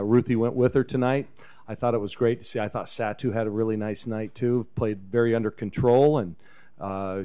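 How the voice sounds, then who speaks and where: A man in his fifties, a head coach, talking casually at a post-game press conference into a table microphone, relaxed and chatty. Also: lowish voice, smooth. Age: 50s. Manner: relaxed, chatty, chuckles often. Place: press conference room with backdrop. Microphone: table microphone on a stand.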